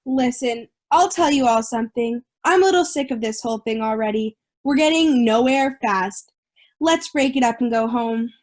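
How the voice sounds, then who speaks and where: A woman's voice: on the high side, normal speed, a little mellow, natural voice. A woman playing an irritable, prejudiced, hot-tempered juror, blunt and indignant, in an amateur audio drama. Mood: fed up.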